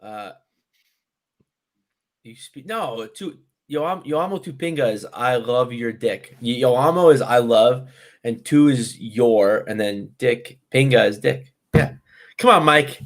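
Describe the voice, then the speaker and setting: A man's voice: medium pitch, measured pace. A young man co-hosting a casual chat show, joking and rambling with lots of laughter. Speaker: podcast co-host.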